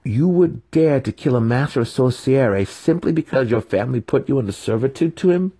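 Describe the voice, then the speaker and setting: Indignant elf student, voiced by a man: raised, husky, haughty protest, fantasy audiobook character. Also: mid-range voice, animated, fairly quick, mellow, pitched above the performer's own voice. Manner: stammering, growling, haughty. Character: trapped, indignant young male dark elf student.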